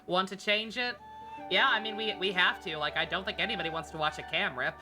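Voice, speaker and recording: A man's voice: high voice, brisk. A man streaming a video game, chatting informally and thinking aloud in a rambling way. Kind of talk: casual livestream chat over gameplay. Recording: game music and dialogue behind.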